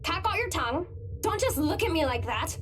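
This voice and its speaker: A woman's voice: extremely high pitch, big pitch swings, fairly quick, slight background noise. An actress voicing the ghost of a reproachful teenage girl in a horror game, taunting and pleading as she talks. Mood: reproachful, needling.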